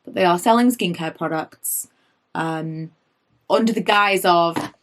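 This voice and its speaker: A woman in her late thirties, slightly hoarse from losing her voice, chatting through her makeup favourites and wandering off on tangents. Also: lowish voice, unhurried, slight background noise. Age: late 30s. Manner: rambling, goes off on tangents; animated, rises when indignant. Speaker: beauty vlogger.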